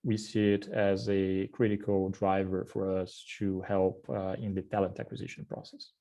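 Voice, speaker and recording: A man with a Brazilian accent, around forty, introduces himself in a relaxed way over a video call. His voice is low and soft, with little brightness. He often hesitates with "uh" and jokes at his own expense. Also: moderate pace. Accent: Brazilian Portuguese. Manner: frequent uh fillers, friendly and self-deprecating. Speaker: guest speaker, head of corporate strategy. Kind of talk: casual webinar self-introduction.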